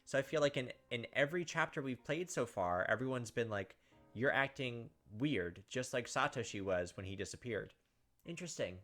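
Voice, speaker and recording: A man's voice: mid-range voice, lively intonation, moderate pace, fairly bright tone, faint music behind. A young man doing casual game commentary and reading story narration aloud. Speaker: gaming video host.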